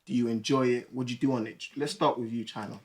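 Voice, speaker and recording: A young man hosting a casual podcast chat, relaxed and quick to laugh. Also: mid-range voice. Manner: relaxed, chatty, laughs easily. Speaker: podcast host.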